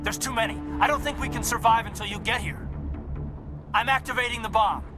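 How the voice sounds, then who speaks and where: A man playing a dutiful soldier who is steady under fire in a video game, earnest and determined. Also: very high-pitched, fairly quick, music in the background. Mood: urgent, determined. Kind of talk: video game cinematic dialogue.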